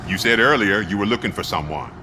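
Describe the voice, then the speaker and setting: A man's voice: on the low side, lively intonation, fast talker, noisy background, close to an everyday voice. A man playing a wisecracking, talkative car mechanic in a video game, casual and wry. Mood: chatty, wry.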